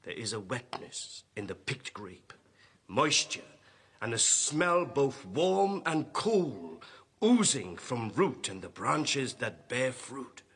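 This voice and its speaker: An actor playing a stern, blind old seer in an audio drama, speaking in a solemn, prophetic, incantatory manner. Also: male voice, mid-range voice, very animated, takes it slowly, a bit bright, breathy edge, heavily acted. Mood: solemn, rapt.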